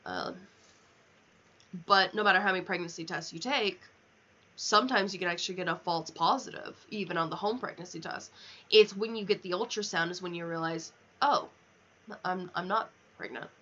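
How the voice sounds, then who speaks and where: A woman's voice: on the low side, brisk, sharp tone, lo-fi sound. A young woman chatting casually on a game livestream, candid and rambling, swearing freely as she goes.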